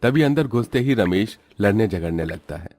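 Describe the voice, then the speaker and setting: A man's voice: on the low side, expressive, moderate pace, a little mellow, faint music behind. A man reading scripted narration for a dramatic story in a storyteller's manner.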